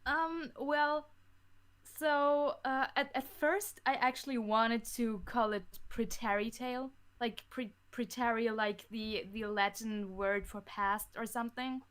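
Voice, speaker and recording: A fifteen-year-old girl chatting casually as an interview guest, in a hesitant, halting manner. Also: fairly high pitch, steady tone, a bit bright, clear, resonant tone. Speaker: interview guest, audio drama creator. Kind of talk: casual chat.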